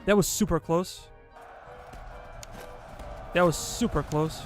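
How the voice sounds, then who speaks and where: A man's voice: a little high, slow, soft background music. A man from Serbia with a Serbian accent, casually commenting live on a strategy game while ordering his troops and chatting with viewers. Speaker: game streamer.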